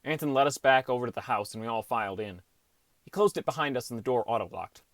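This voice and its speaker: A man's voice: medium pitch, quick pace, no echo. A man reading a scripted first-person story as an audiobook narrator.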